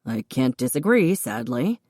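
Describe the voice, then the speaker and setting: A dry-witted, gruff grown man who answers in short, understated lines, pitched low and spoken slowly, as a woman narrator puts him on. The sound is close and dry. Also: big pitch swings, darkish tone, dry recording, heavily acted.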